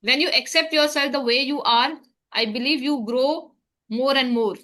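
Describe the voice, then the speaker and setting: A woman, interviewing in a casual conversation, asking probing questions and gently making her case. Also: high-pitched, normal speed. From India.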